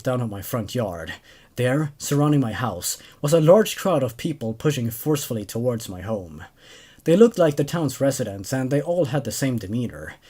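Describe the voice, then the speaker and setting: Man in his twenties, horror story host, animated pitch swings, emphatic delivery, medium pitch. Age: 20s. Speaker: host reading horror stories. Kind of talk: dramatic story narration, then casual commentary.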